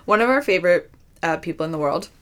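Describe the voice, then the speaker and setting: Young woman cohost, kinda low voice, rambly with "like" everywhere. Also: expressive, fast. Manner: chatty, lots of "like" fillers. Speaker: podcast co-host. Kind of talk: casual chat.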